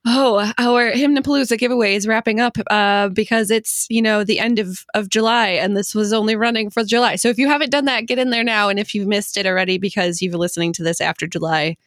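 A woman's voice: mid-range voice, fairly even intonation, fast, hardly any pauses, fairly bright tone, dry recording, clean studio sound. A woman podcast host chatting casually and wrapping up the show in a playful, informal manner. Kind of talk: casual chat and show wrap-up.

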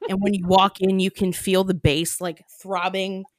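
A woman's voice: medium pitch, flat delivery, normal speed, no room sound. A woman co-hosting a podcast, chatting casually and giggling, filling her talk with "like". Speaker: podcast co-host. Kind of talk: casual chat.

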